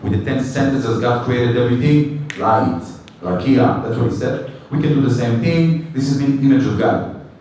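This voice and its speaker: A rabbi teaching a weekly Torah class to a live group, animated and joking, now and then breaking into short chanted tunes. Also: male voice, mid-range voice, slight background noise. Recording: echoey hall, mic from a distance.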